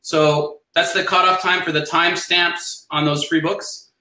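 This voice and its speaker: High-voiced man with bright, pushy energy. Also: unhurried. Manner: energetic promoter, repeats offers. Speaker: conference speaker, interview guest. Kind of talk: casual live video chat. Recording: roomy echo.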